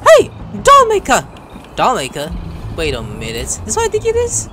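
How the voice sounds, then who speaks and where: Excitable guy yelling at a game. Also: high-pitched, moderate pace, background noise. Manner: excitable, swearing, animated. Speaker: gameplay commentator. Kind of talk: casual let's play commentary.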